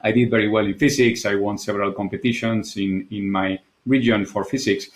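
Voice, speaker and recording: A man from Spain speaks English with a clear Spanish accent, his voice sitting a little low. He answers podcast questions in an earnest, reflective way, often circling back on a word before he finds the next. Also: steady tone, brisk. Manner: thoughtful, earnest, repeats words while searching for them. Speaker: guest, architect and designer. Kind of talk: candid podcast interview.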